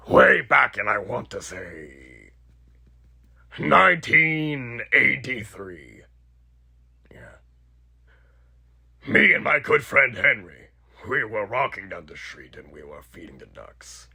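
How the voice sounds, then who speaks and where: A man voicing a sinister older killer inside a haunted animatronic, rambling and theatrical with a raspy, gravelly voice, in a roleplay monologue to the listener. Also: on the low side, pushed and bright, pitched below the performer's own voice, exaggerated voice. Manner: rambling, theatrical, mock-friendly.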